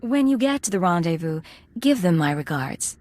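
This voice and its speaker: A woman playing a composed, professional Imperial agent in a video game, speaking in a measured, guarded, formal way. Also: fairly low pitch, very animated, a little mellow.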